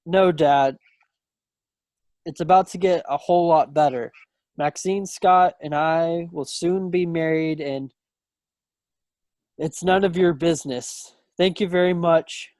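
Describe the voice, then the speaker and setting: A man's voice: fairly high pitch, fairly even intonation, slow pace, long pauses, a little mellow, no room sound. A man playing an earnest, stubborn young soldier in love, in an amateur play read over a video call. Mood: indignant.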